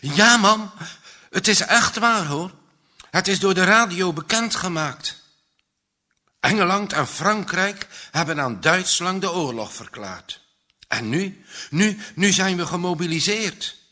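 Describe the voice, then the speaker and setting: A man reading a storybook as an excited eleven-year-old boy, insistent and urgent in telling his news. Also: sits high, slow, voice pushed up, exaggerated voice. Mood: excited alarm. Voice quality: voice cracking. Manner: breathless, insistent, shouting out news.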